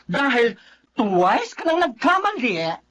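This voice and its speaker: A woman's voice: on the high side, sing-song, slow, fairly bright tone, a little hiss or hum, heavily acted. An actress playing a concerned young female cousin, earnest and chiding, in radio drama style. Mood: reproachful. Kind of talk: Filipino radio drama acting.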